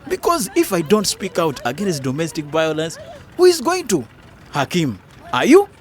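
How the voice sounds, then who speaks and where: A man's voice: on the high side, huge pitch swings, normal speed. A man playing an earnest, indignant councillor in an educational radio drama, lecturing a friend in a preachy, emphatic way. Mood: earnest, stern.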